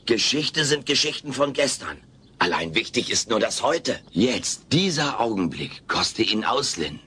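A man's voice: medium pitch, big pitch swings, measured pace, pushed and bright, slightly rough, heavily acted. A man playing a wisecracking, theatrical alien creature in a German comedy audio play, talking in a pompous, melodramatic way. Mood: philosophical. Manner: pompous, melodramatic, mock-philosophical.

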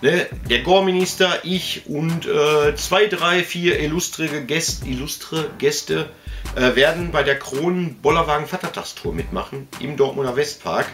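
A man's voice: a little high, unhurried, fairly bright tone, faint music behind. A man, chatting casually in a rambling, colloquial way that often interrupts itself. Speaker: video host showing collectibles. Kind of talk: casual vlog chat. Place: indoor room full of collectibles.

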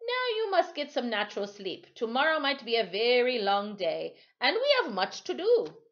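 Storybook sailor played by a woman: man in his sixties, easygoing, folksy, very varied pitch. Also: wildly swooping pitch, a bit bright, highs cut off, heavily acted. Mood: calm, reassuring. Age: 60s. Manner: folksy, unhurried dialect speech. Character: old West Indian sailor, over sixty, easygoing, chuckling. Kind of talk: storybook character voice.

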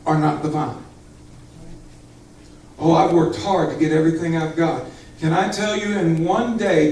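A man's voice: on the high side, a little mellow. A middle-aged man preaching a Pentecostal sermon as pastor, fervent and emphatic, addressing his congregation directly. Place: church sanctuary with small congregation. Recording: echoey church hall, far from camera.

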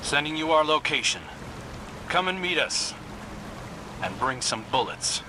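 A man's voice: a little high, expressive, unhurried, noisy background. An actor playing an earnest, dryly teasing young male scout in a video game. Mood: businesslike. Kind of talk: scripted game dialogue. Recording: loud music and game sounds behind.

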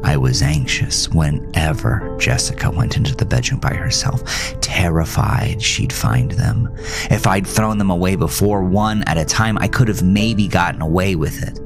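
A man narrating a first-person horror story for a podcast, with dramatic pauses and whispery emphasis. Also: deep voice, creaky, music in the background.

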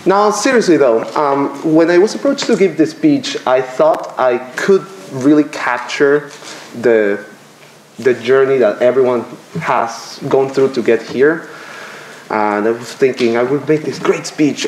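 A young man from Venezuela speaks into a podium microphone in a lecture room, giving a commencement speech. He pauses often and jokes at his own expense. The room is roomy and echoey, with a faint hum behind him. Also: mid-range voice. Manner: halting, self-deprecating, with filler pauses. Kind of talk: graduation speech. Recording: roomy lecture hall echo.

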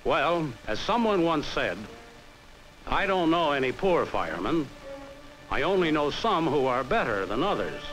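An American man reading a scripted 1950s training film narration in a measured, instructive, carefully enunciated way, on a vintage film soundtrack. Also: a little high, sing-song, a bit bright, rough recording. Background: background music.

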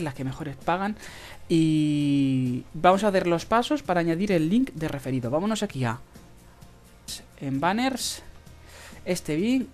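A speaker with a Spain Spanish accent giving a casual screen-recorded tutorial, in a halting, hesitant way with drawn-out pauses. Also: mid-range voice, a little mellow. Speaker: video tutorial host. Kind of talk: casual screen-recorded tutorial about earning money online. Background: background music.

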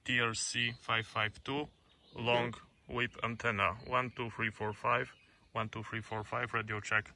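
A man delivers radio test calls in short, deliberate phrases. His pitch stays flat and his tone is bright and forceful, with faint noise in the recording. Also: gravelly.

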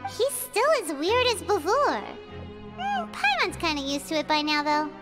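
Childlike game fairy, squeaky, chirpy, extremely animated, loud music behind. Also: female voice, normal speed. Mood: curious. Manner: speaks of self in third person. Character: small chirpy childlike fairy companion girl, bubbly. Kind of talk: video game character dialogue.

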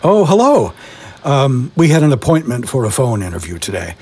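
Casting: man as courteous, slightly flustered interviewer in audio comedy, medium-pitched everyday voice, halting questions. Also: sing-song, darkish tone, a little hiss or hum, natural voice. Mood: courteous, tentative. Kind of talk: hesitant, polite phone interview in comic audio drama.

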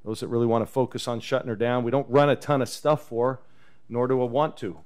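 Chatty fifties man with a smooth voice. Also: medium pitch, fast talker. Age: 50s. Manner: relaxed, chatty, chuckles often. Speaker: head basketball coach. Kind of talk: post-game press conference. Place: press conference room with backdrop. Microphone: table microphone on a stand.

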